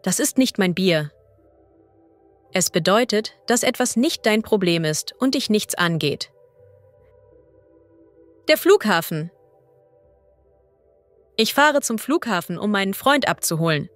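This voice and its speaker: A woman as a language teacher reading idioms and vocabulary slowly and clearly. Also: medium pitch, animated, dry recording, faint music behind. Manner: slow, clear and deliberate.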